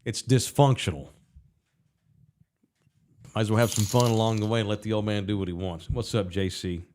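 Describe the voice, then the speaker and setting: Wry, sardonic show host. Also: male voice, on the low side, steady tone, normal speed, darkish tone, slight background noise. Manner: wry, sardonic, reads sponsor plugs and super chats. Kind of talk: casual sports talk.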